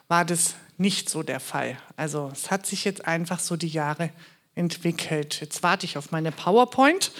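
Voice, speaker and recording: A woman's voice: mid-range voice, a bit bright. A woman from Bavaria, raised in Württemberg, giving a chatty, joking presentation. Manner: chatty, joking, with fillers and restarts. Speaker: charity regional manager giving a talk. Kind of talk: casual presentation talk.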